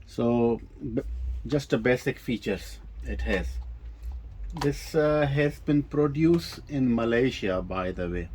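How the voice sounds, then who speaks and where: A man giving a casual, hands-on product demonstration, explaining things informally in a halting, hesitant way. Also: mid-range voice, animated, a little mellow, a little hiss or hum, rough recording. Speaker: presenter of a vintage audio gear demo.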